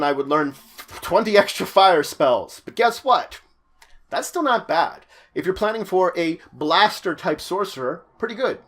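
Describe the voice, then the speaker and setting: A man with a fairly high-pitched voice hosts an informal roleplaying guide. He explains things to viewers in a chatty way, leaning on key words for emphasis. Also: a bit bright. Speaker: host of a tabletop roleplaying guide series.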